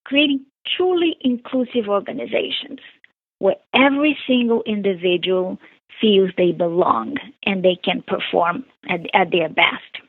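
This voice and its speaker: Brazilian-accented woman, deliberate guest speaker, dry phone-line audio. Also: mid-range voice, husky, dry recording. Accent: Brazilian Portuguese. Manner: earnest, deliberate, repeats key phrases. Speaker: author and guest. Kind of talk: radio interview.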